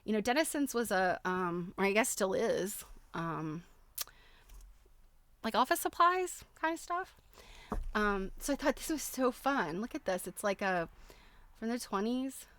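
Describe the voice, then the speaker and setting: A woman's voice: medium pitch, big pitch swings, unhurried, pauses often, fairly bright tone. A woman hosting a casual livestream, chatting enthusiastically and thinking aloud as she walks through websites. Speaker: host of a craft livestream.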